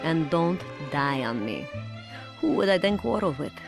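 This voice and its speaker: A woman's voice: deep voice, big pitch swings, a little slow, creaky, heavily acted. Dry, teasing Soviet woman. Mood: dry, affectionate. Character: sardonic Soviet woman writing to her beloved sparring partner. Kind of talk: letter read as game voice-over. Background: music.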